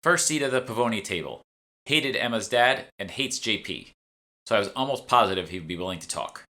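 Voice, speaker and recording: A man's voice: lowish voice, lively intonation, a little fast, bright, forceful tone. A man voicing a bossy, curt, sardonic female guild fixer in an audiobook. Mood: assured. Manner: curt, impatient, know-it-all.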